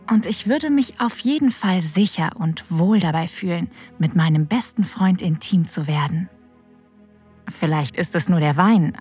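A woman playing a flirtatious, adventurous narrator of an erotic audio drama, with a breathy voice that often drops toward a whisper. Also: lowish voice, darkish tone. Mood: sensual, hushed. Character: flirtatious, adventurous woman telling her own erotic story. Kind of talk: intimate first-person scripted narration.

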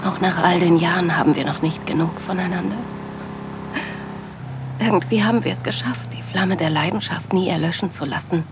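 A woman playing a loving, sensual middle-aged wife, narrating an intimate audio drama in a soft, dreamy manner. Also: on the low side, fairly quick, noisy background, close to an everyday voice. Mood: tender, excited.

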